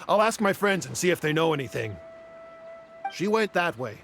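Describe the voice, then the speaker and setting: A man's voice: a little high, big pitch swings, moderate pace, fairly bright tone, soft background music. A man voicing a friendly, thoughtful working townsman in a video game. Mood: thoughtful, concerned. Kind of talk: scripted game dialogue.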